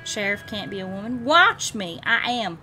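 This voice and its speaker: A woman's voice: medium pitch, big pitch swings, normal speed, bright, forceful tone, faint music behind, low-quality recording. A woman livestreamer giving playful game commentary in mock-bossy cowboy slang with a Southern US accent. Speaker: livestreamer playing a video game.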